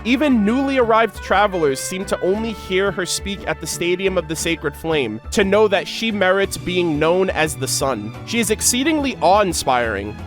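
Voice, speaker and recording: Guy with a lightish higher voice doing slangy fan reactions and reading out game lore, bit of music behind him. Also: lively intonation, normal speed, few pauses. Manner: slangy, enthusiastic fan talk. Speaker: gaming reaction video creator. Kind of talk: casual reaction commentary mixed with reading game lore aloud.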